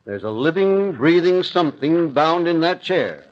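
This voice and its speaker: An actor playing an aging doctor in an old-time radio mystery, grave, dramatic and deliberate in his delivery. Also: fairly high pitch, very animated. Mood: grave, commanding. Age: older. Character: aging doctor, grave, takes charge, unsettled by horror. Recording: muffled, noisy 1970s radio drama recording.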